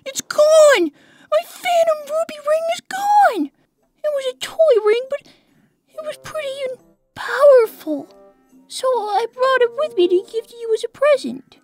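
Woman voicing a high-pitched, bashful young fox boy. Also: very animated, unhurried, a little mellow, faint music behind, heavily acted. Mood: distressed. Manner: boyish, earnest, hesitant. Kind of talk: cartoon comic dub.

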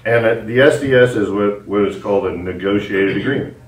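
A man with a fairly low voice makes remarks at a public meeting. He is blunt and emphatic. The room is echoey and he sounds away from the mic. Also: fairly quick, distant mic. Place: indoor council chamber.

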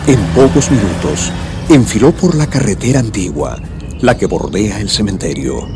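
A man's voice: fairly low pitch, animated, normal speed, background noise. A man giving scripted dramatic narration for a mystery radio play. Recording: music bed behind the voice.

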